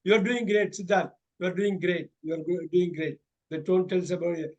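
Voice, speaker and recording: A man with a high voice and an Indian English accent runs a mock speaking exam for his students. He coaches them through each question and keeps repeating his praise. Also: steady tone, moderate pace, darkish tone, muffled recording. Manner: encouraging, repeats praise often.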